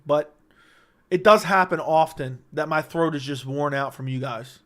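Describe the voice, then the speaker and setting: A man hosting a casual livestream, reading chat questions aloud and answering them in a joking way, his voice slightly raspy and worn. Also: a little high, moderate pace, fairly bright tone.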